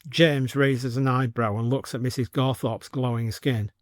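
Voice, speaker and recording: A man's voice: medium pitch, steady tone, normal speed, no echo, clean studio sound. A man reading scripted narration for an audio story as the storyteller.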